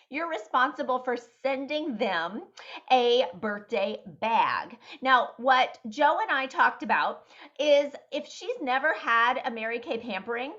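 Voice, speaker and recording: A high-voiced woman walks her sales team through an event plan on a video call. She coaches in an upbeat, step-by-step way, and the audio sounds dull and lo-fi. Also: a bit bright. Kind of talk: team meeting presentation.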